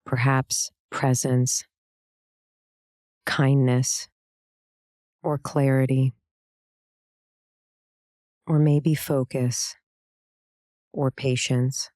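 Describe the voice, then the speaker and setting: A woman leading a guided meditation, giving calm, gentle, soothing instructions with pauses between phrases. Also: a bass voice, no echo, clean studio sound. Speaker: meditation guide, yoga teacher.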